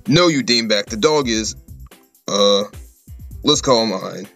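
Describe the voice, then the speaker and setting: A man's voice: on the low side, lively intonation, a little slow, creaky, fairly bright tone, faint music behind, full character voice. A man voicing a folksy, cocky schemer in a comedy cartoon, full of colourful slangy patter. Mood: urgent explaining. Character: folksy, cocky schemer leading a bumbling duo.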